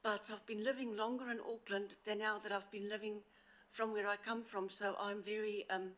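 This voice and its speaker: A woman with an accent from outside the city presents to a council committee on a handheld mic, earnest and halting with frequent fillers. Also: mid-range voice, little pitch movement, fairly bright tone. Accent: non-local accent. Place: council meeting chamber. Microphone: handheld microphone.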